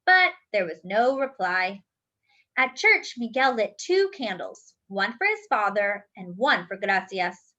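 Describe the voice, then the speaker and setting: A woman reading a children's picture book aloud in an expressive, careful, teacherly way. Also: mid-range voice, slow pace, dull audio.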